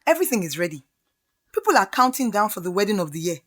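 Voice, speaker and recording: A woman playing a calm, supportive young friend who reasons in a measured way in a radio drama. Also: mid-range voice, no room sound, studio quality. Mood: concerned.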